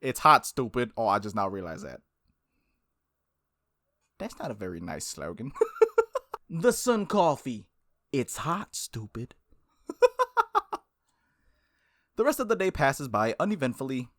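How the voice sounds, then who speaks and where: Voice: mid-range voice, huge pitch swings, measured pace, long pauses, a bit bright, no room sound, studio quality. A let's play streamer reading a visual novel aloud, with casual, jokey asides and stumbles over words. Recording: music behind the voice. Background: background music.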